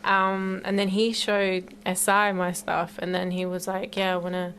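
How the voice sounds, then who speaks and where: A woman's voice: medium pitch, monotone, moderate pace, fairly bright tone, a little hiss or hum. A young woman with a South London accent, a singer-songwriter talking casually and informally as an interview guest, retelling things as quoted conversation. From South London, born in Colombia. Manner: informal, anecdotal, quoting conversations. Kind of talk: casual chat.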